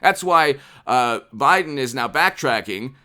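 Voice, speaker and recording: Casting: American male commentator, 40s, mid-pitched, unscripted and emphatic, repeats phrases for stress, close desk mic. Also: lively intonation, a little slow, bright, forceful tone, clean tone. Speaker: political commentary channel host. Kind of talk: unscripted political commentary to camera. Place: small indoor room with poster-covered walls. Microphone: desk condenser microphone, close.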